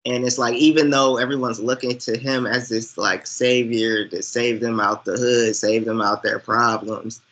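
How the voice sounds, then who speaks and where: A man talking casually as a guest reviewer, reflective and halting as he works through long, winding explanations. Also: medium pitch, little pitch movement, fairly bright tone, poor audio. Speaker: guest, online movie reviewer. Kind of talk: casual chat.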